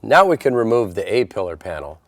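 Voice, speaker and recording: A man's voice: fairly low pitch, big pitch swings, a little fast, slight background noise. An American man presenting a step-by-step installation tutorial in an instructional style.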